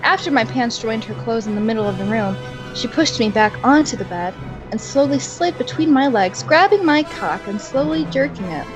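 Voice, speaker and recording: A woman's voice: fairly high pitch, expressive, normal speed, highs cut off, music in the background. A young woman reading fan fiction aloud in a mock-dramatic way and adding sarcastic, giggly comments between passages.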